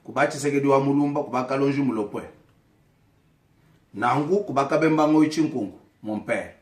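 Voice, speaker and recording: A man's voice: mid-range voice, moderate pace. A man from Kasaï in DR Congo with a Congolese French accent, whose first language is Tshiluba, giving an impassioned political address to camera and calling people out by name. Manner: fiery, accusatory, calls out names directly. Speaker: vlogger addressing his community. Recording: echoey room.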